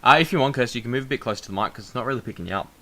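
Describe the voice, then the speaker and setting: A young man hosting a casual podcast chat, with a loud, projecting voice and many fillers and restarts. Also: medium pitch, racing, a crowd in the background. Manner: frequent fillers and restarts, laughs at himself. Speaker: podcast host.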